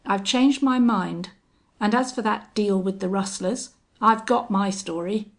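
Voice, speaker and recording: A woman voicing a cowardly, blustering young man in a western audiobook, excitable and prone to stammering. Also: medium pitch, measured pace, creaky, husky, heavily acted. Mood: defiant bluster. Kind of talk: audiobook character acting.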